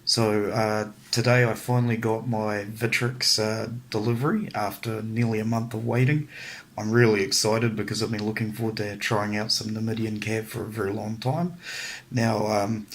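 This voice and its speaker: A man's voice: lowish voice, flat delivery, moderate pace. New man with a head cold. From New Zealand. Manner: chatty and easygoing, many ums and uhs. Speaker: miniatures hobby reviewer. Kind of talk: casual product review.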